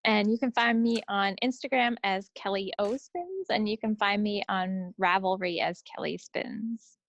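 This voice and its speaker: A woman's voice: medium pitch, steady tone, unhurried, a bit bright, highs cut off, a little hiss or hum. A woman co-hosting a casual podcast, chatting remotely over an internet call. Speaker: podcast co-host. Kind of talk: casual chat. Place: in a yarn shop, on a remote call.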